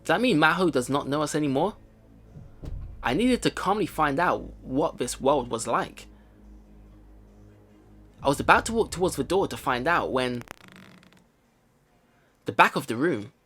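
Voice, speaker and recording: A man's voice: on the high side, animated, a little slow, pauses often, fairly bright tone. A man hosting a let's play, reading game narration aloud and reacting casually. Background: game music.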